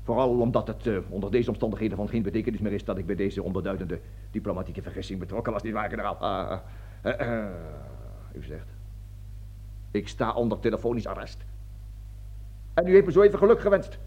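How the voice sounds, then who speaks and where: An actor playing a pompous, fanatical army colonel with a declamatory manner in a satirical radio play. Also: male voice, on the low side, quick pace, darkish tone, slightly rough. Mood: subdued, obsequious. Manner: declamatory speeches, barking orders, stammering when flustered. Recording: dull lo-fi radio drama recording.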